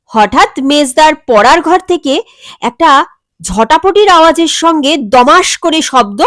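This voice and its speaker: A woman's voice: high-pitched, expressive, takes it slowly, a bit bright, no room sound, studio quality. A woman reading a scripted comic family story as its narrator.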